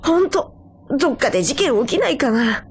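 High-pitched cocky boy alien hero. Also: big pitch swings, moderate pace, slight background noise. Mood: cocky, eager. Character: cocky eager young male furry alien hero. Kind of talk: Japanese video game dub acting.

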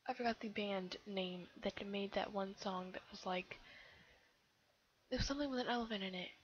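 A teenage girl with a somewhat low voice chatting casually over gameplay, rambling with lots of fillers. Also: normal speed, husky. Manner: rambling, giggly, self-chattering with many fillers. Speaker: game video creator. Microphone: computer mic. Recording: dull lo-fi computer mic.

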